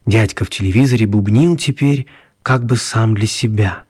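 Male narrator for children's radio drama: dark, slightly rough tone, dry studio sound. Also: on the low side, normal speed, no room sound.

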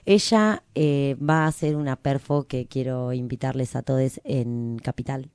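Female host, Rioplatense accent, low register, effusive casual chat, dry close recording. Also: measured pace, darkish tone, smooth, no echo. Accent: Rioplatense Argentine. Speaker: radio show host.

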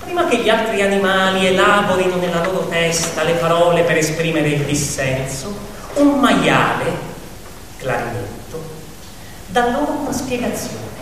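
A man's voice: sits high, lively intonation, moderate pace. A man narrates a scripted drama with theatrical suspense. He is heard at some distance in a long, heavy reverb, with faint noise around the voice. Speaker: actor and narrator of a stage-style radio adaptation. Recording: echoey hall, distant mic, faint noise.

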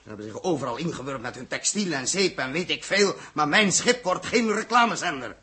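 A man's voice: on the high side, expressive, fairly quick, bright, forceful tone. A man acting a blunt, principled spaceship commander in a 1960s Dutch science fiction radio drama. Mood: defiant, firm. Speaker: spaceship captain. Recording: noisy old radio drama recording, clicks.

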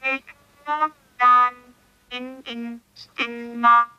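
An actress playing a barely conscious young girl in an experimental radio play, speaking in halting, disjointed fragments. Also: a little high, fairly even intonation, dull audio, soft background music. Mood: dazed, fragmented.

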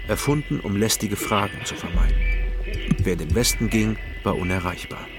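A man playing a sober private detective, narrating and questioning in a measured way in a radio crime drama. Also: fairly low pitch, lightly acted. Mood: sober, reflective. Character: East Berlin private detective narrating his case. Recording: music behind the voice.

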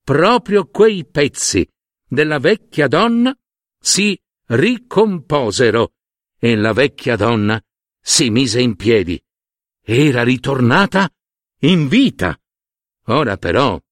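A man narrating a fairy tale expressively as a storyteller, with dramatic, deliberate pauses. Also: mid-range voice, big pitch swings, dry recording, studio quality.

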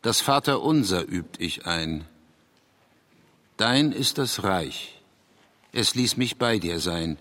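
An actor playing a brooding, hesitant male writer in a literary radio drama, with a husky voice. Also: low-pitched, expressive, slow, pauses often, bright, forceful tone, exaggerated voice. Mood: solemn, intense. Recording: long hall-like reverb.

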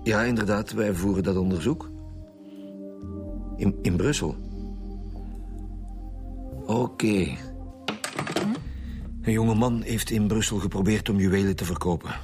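An actor playing a stern, insistent police commissioner in a radio crime drama, speaking with a Flemish accent. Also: male voice, lowish voice, measured pace, long pauses, natural voice. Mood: matter-of-fact. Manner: blunt, insistent. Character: stern, pressing male police commissioner leading interrogations. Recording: dramatic music behind the voice.

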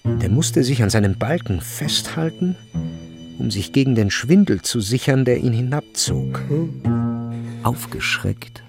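A man's voice: medium pitch, animated, measured pace, creaky, music in the background. A man reading scripted literary narration in a radio drama.

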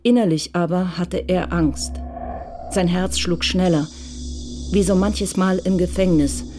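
Low-voiced woman narrator over music. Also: unhurried. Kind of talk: scripted literary crime narration.

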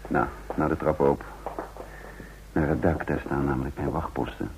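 An actor playing a composed, worldly secret service agent in a radio drama, measured and probing in manner. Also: male voice, extremely low pitch, fairly even intonation. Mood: assured, recruiting. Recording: muffled, noisy old radio recording.

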